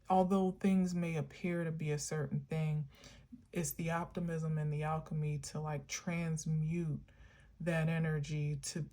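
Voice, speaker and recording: Woman vlogger, low-pitched, slow and meandering, earnest spiritual musing. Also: a little mellow. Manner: meandering, musing, many filler words; earnest, encouraging. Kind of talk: reflective spiritual monologue.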